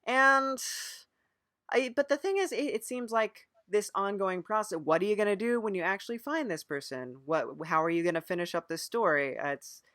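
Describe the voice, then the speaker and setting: A woman's voice: mid-range voice, normal speed, a bit bright, no echo, clean studio sound. A woman hosting an improvised mock interview, agreeable and a little hesitant, playing it straight in casual conversation. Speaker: podcast interviewer.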